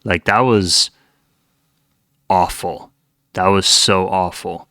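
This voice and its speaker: Deep voiced guy doing casual reaction commentary, kinda halting, crisp forward tone, really dry sound. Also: fairly even intonation, sharp tone, no room sound. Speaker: reaction channel host.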